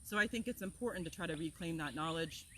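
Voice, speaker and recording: A woman from Florida, an herbal teacher, talking in an energetic, passionate way as she opens an outdoor class. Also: low-pitched, fast, slight background noise. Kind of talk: casual talk to open an outdoor class. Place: outdoors among plants, with birds and insects.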